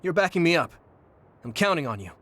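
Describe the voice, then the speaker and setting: Casting: polite, keen young soldier hero in an anime game; high male voice, lively intonation. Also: fairly quick. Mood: earnest. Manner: earnest, polite. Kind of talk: English anime game voice acting. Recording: music behind the voice.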